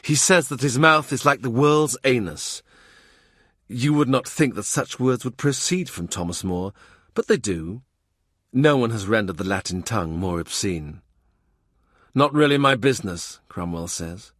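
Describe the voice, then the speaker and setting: A man reading a historical novel aloud as an audiobook narrator, in a measured, dry delivery. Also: medium pitch, a bit bright, dry recording. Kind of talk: scripted literary narration.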